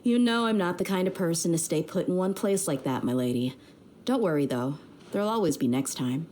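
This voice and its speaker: A woman's voice: fairly low pitch, animated, a little fast, dark tone, slight background noise, close to an everyday voice. A woman playing an easygoing, loyal young female mercenary leader in a video game, speaking in a casual, frank, slangy way. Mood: relaxed, friendly.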